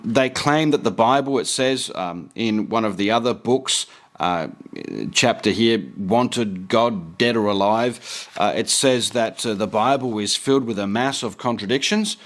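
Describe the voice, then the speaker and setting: Earnest man preaching and reading aloud. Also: fairly low pitch. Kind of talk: preaching commentary with read-aloud quotations.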